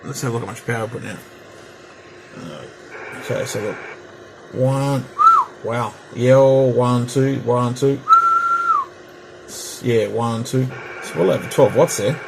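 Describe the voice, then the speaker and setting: A man's voice: medium pitch, unhurried, gravelly, long pauses. Middle-aged man, chatty, repetitive, workshop noise in the background. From Australia. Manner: rambling, chatty, repeats test counts. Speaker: radio hobbyist vlogger. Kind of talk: casual hands-on equipment demo. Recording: home recording with noticeable background noise.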